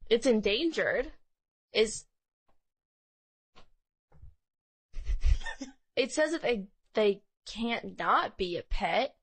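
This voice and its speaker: A woman co-hosting a podcast with casual, playful, expressive banter. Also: a little high, very slow, long pauses, breathy edge. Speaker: podcast co-host.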